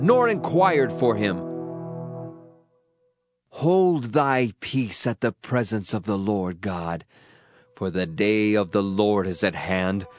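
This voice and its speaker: A man giving a solemn, dramatized audio Bible reading with declamatory emphasis. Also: medium pitch. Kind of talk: dramatized scripture reading in archaic English. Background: background music.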